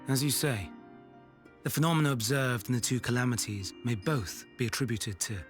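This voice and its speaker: A man playing a grave, learned ancient scholar in a game cutscene, speaking in a formal, measured and analytical way. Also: mid-range voice, soft background music, close to an everyday voice. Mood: grave, thoughtful. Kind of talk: video game cutscene acting.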